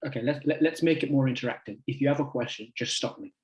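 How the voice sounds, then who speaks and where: Guest speaker, 20s male, easygoing, halting delivery, middle pitch. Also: a little mellow, dull audio. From United Kingdom. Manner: friendly, chatty, halting with many fillers. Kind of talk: casual talk to an online group.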